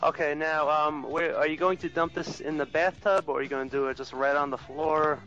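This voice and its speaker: High-voiced man on a muffled phone line. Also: fairly even intonation, brisk, pushed and bright. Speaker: prank caller posing as radio station host. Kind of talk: casual directing over a phone call. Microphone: phone call. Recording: muffled lo-fi phone call with music.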